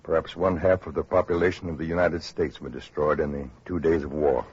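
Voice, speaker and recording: A man playing a businesslike official who registers refugees, measured and matter-of-fact, in a 1940s radio drama. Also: rumbling low, steady tone, a little mellow, slightly rough, exaggerated voice. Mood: sober, sympathetic. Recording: muffled old radio broadcast recording.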